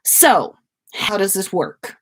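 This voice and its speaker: A woman's voice: medium pitch, lively intonation, takes it slowly, breathy edge, exaggerated voice. A woman voicing a sober, sensible young man in a story, emphatic and a little grumbling. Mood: matter-of-fact.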